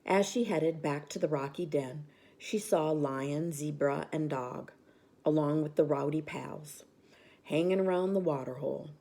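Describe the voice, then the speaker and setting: A woman reading a children's bedtime story aloud with expressive narration. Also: sits low, a little slow.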